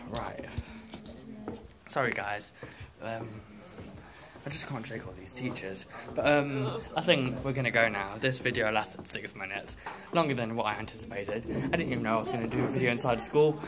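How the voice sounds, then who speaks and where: A man's voice: mid-range voice, normal speed. Teenage boy, cheeky chatty vlogger, school corridor, handheld phone, noisy audio. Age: teens. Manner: chatty, cheeky, swears and jokes. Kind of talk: casual school vlog. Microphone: phone held in hand.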